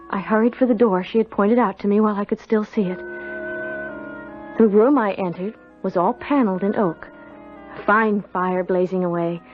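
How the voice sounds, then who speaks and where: A woman's voice: medium pitch, close to an everyday voice. An actress playing a timid, polite young serving girl in a 1970s radio drama, hesitant and deferential. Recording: dull old radio broadcast, music behind.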